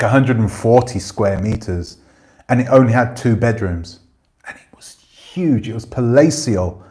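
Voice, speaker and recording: Rambling man in his forties, low voice. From Jamaican family roots. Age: 40s. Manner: rambling, relaxed, swears casually. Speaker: channel host. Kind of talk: casual livestream chat reading comments.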